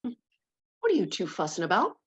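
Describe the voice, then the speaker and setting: A woman's voice: mid-range voice, huge pitch swings, a little slow, fairly bright tone, slightly rough, full character voice. A woman playing a plainspoken, tender-hearted aunt in mourning, folksy and motherly, in a dramatic script read with slightly muffled sound. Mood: advising.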